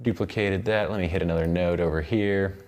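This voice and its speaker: A man's voice: low voice, steady tone, a little fast, a little mellow. An American man in his thirties giving a casual, loosely phrased live tutorial as a music production instructor. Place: indoor recording studio control room.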